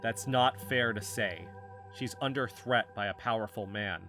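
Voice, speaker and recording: An actor playing an earnest, defensive amateur investigator in a naturalistic fiction podcast, speaking insistently as if in real conversation. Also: male voice, on the low side, unhurried, pushed and bright, faint music behind.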